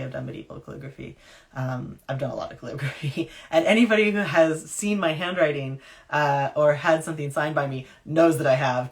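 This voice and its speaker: Low, gravelly woman reading aloud. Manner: hushed, whispery reading; chatty with fillers in talk. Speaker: author reading her own novel live. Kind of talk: storybook reading then casual chat. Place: dim room at home.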